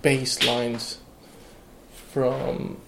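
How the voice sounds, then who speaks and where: A young man who grew up in Iran, speaking English as a guest in a casual interview, halting with many fillers and restarts. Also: mid-range voice, level tone, gravelly, very noisy. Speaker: guest, electronic music producer.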